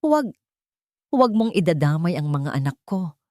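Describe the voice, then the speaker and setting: A woman's voice: lowish voice, very animated, moderate pace, darkish tone, no room sound, clean studio sound. A woman voicing an older, loyal housemaid who speaks with desperate, earnest emotion in an audio story. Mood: frightened pleading. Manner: emotional, pleading.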